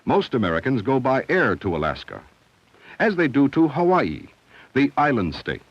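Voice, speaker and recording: A man reading scripted narration for a 1970 educational film in a measured, instructional, clearly enunciated way. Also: mid-range voice, sing-song, no echo. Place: studio voice-over. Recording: dull, dry vintage film soundtrack.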